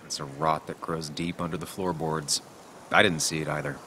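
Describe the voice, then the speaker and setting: A man's voice: a bass voice, steady tone, moderate pace, pushed and bright, slight background noise. An actor playing a brooding, analytical investigative journalist who tries to keep his nerve, in a video game. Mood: somber. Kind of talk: video game dialogue and inner narration. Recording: dramatic music behind.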